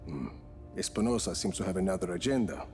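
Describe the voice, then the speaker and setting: An actor playing a confident, rugged video game action hero, speaking in a dry, laconic way. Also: male voice, deep voice, animated, quick pace, a little mellow. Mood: probing. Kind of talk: cinematic video game acting. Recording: music behind.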